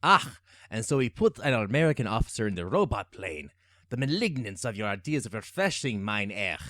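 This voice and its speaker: A sly German henchman, fawning and gloating in an old radio serial. He has a German accent and an animated, swinging delivery. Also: fairly low pitch, brisk, dry recording, studio quality. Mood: sly, obedient. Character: obsequious, gloating male German henchman and pilot. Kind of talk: 1940s-style radio serial acting.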